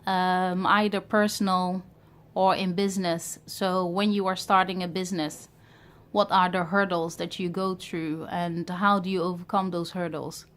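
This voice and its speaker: A woman's voice: on the low side, flat delivery, fairly bright tone. A woman from Ghana in her thirties who grew up in Europe, talking to camera in a reflective, hesitant way about starting a business. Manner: reflective, hesitant, many pauses and fillers. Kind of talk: personal vlog talk to camera.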